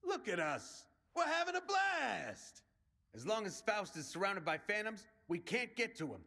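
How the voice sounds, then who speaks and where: A man's voice: high voice, big pitch swings, unhurried, bright, forceful tone, exaggerated voice. An actor playing a brash male resistance commander in a video game, rallying and plain-spoken like a soldier. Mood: wry, urgent.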